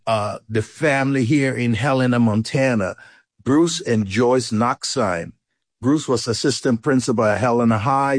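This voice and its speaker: A middle-aged man with a Liberian accent, a guest recounting his life story conversationally, animated when he quotes others. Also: medium pitch, dry recording, studio quality. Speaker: interview guest. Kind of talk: casual storytelling in an interview.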